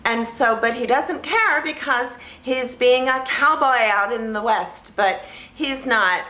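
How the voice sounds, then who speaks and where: A woman with a bright, forward voice talks slowly in an echoey room. Her delivery is childlike and naive, built from simple sentences. She rambles casually as a vlog host, often repeating a phrase while she looks for the next word. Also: on the high side. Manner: halting, repeats phrases as she searches for words. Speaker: vlog host reviewing films. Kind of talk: casual rambling talk to camera.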